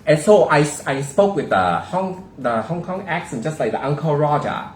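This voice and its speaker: Lively Singaporean man in an echoey room. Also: a little high, normal speed, a little hiss or hum. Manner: animated storyteller, puts on a Hong Kong accent. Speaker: co-host. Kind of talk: casual chat.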